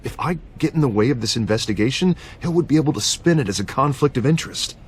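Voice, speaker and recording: An actor playing a harried but loyal district attorney in a video game, frank and expressive. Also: male voice, medium pitch, fast talker, lightly acted. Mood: troubled. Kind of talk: cinematic game dialogue acting. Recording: clear ambient noise behind.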